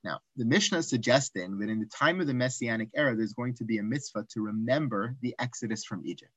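A man teaching an online Talmud class, raising rhetorical questions and dropping into quiet asides. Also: medium pitch, fast, slightly rough, dry recording. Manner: animated, asks rhetorical questions, drops to asides.

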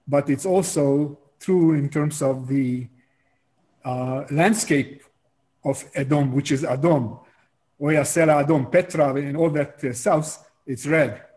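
A man speaks very slowly with a mellow voice from the audience of a class. He halts often, filling gaps with hesitations. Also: fairly high pitch. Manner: halting with fillers. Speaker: class participant. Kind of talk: audience question.

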